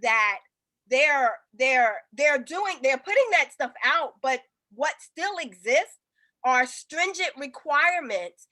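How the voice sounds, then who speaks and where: A woman's voice: high voice, animated, takes it slowly, pushed and bright. A woman consulting for small businesses, talking in a rambling way with many ums and restarts on a casual group video call.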